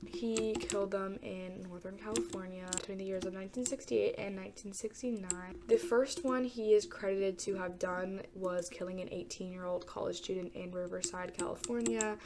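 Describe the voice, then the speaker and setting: A woman's voice: lowish voice, heavy background noise. A congested young woman casually telling a true crime story while gaming, hesitant and rambling with many fillers and restarts. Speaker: gamer talking true crime. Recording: loud keyboard typing behind.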